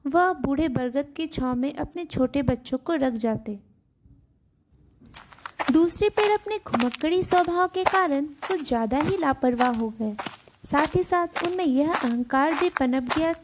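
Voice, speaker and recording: A woman's voice: high voice, a little mellow, slight background noise. A woman telling a scripted children's story as a storybook narrator.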